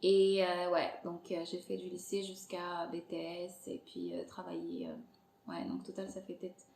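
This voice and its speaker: A woman in her thirties from Angola, a podcast guest who talks in a lively casual way with many fillers and frequent laughs. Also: lowish voice, moderate pace, gravelly. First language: Portuguese. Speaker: podcast guest, content creator. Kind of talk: casual interview chat. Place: Montreal. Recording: echoey room.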